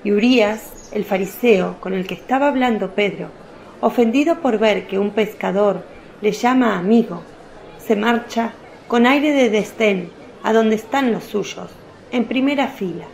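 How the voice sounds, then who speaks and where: A woman's voice: mid-range voice, unhurried, gravelly, a little mellow. A woman narrating a radio drama as scripted storytelling.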